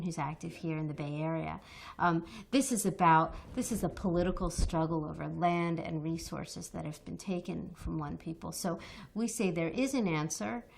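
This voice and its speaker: Emphatic, passionate lower-voiced woman. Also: vocal fry. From United States. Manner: passionate, emphatic, repeats words when restarting. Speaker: interview guest, activist. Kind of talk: earnest interview answers.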